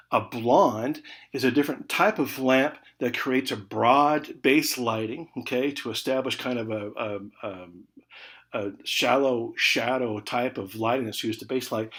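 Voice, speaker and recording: A man with an American accent giving an informal online college lecture, conversational, stressing key words and then trailing off softly. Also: medium pitch. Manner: conversational, emphatic key words trailing off into soft check-ins like "okay". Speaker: college communication instructor.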